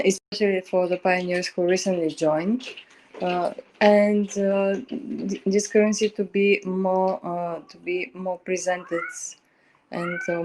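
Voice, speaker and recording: A woman's voice: on the low side, darkish tone, slight background noise, rough recording. A woman explaining her opinion in a community discussion, hesitantly, often repeating her phrases.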